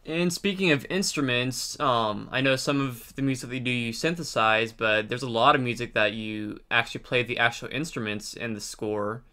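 A man's voice: medium pitch, moderate pace, hardly any pauses, sharp tone. A man hosting an interview, introducing and asking questions conversationally. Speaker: interviewer, host.

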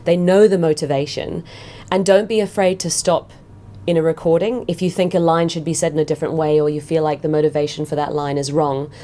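A woman with a notably low voice gives practical advice in an interview. Her way of talking is direct and instructive. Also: steady tone, a little fast, warm tone. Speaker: radio play organiser giving advice. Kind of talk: explanatory interview.

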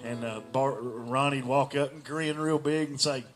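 A man's voice: mid-range voice, fairly even intonation, moderate pace. A middle-aged man, a dry, teasing storyteller, singing and joking on an outdoor beer garden stage into a stand mic. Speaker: singer-songwriter. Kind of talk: live stage banter and singing. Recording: live guitar under voice.

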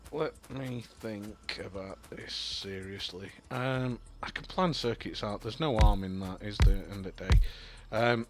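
A man's voice: on the low side, background noise. A young man with a Yorkshire accent, rambling and thinking aloud as he commentates on a building game, full of ums and apologies. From Yorkshire, England. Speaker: gaming YouTuber. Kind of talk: casual gameplay commentary.

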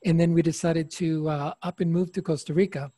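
A man in his fifties from Chile, a success coach speaking calmly as a guest on a video call through a headset. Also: fairly high pitch, brisk, dry recording, clean studio sound.

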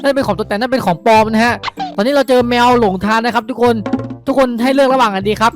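A man's voice: very high voice, fast talker. A man narrating a game role-play vlog, chatty and repetitive, speaking straight to his viewers. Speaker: video creator narrating game skits. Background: background music.

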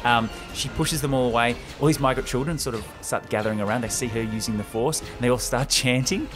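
A young male podcast host retells a film script in an excited, casual, slangy way. His voice is mid-pitched and close on the microphone, with music clearly behind it. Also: brisk. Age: young adult. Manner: enthusiastic, slangy. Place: small room indoors.

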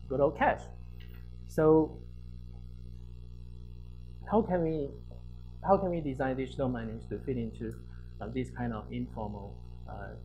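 A soft-voiced man in his thirties presents research at an academic conference. He explains step by step and somewhat haltingly, repeating words as he goes. He speaks in a university lecture hall. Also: mid-range voice.

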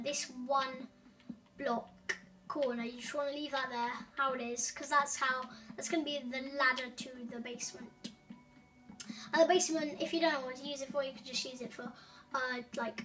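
High-voiced woman, rambling tutorial. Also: distant mic. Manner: rambling, many ums and self-corrections. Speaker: gaming tutorial host. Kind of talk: casual game walkthrough.

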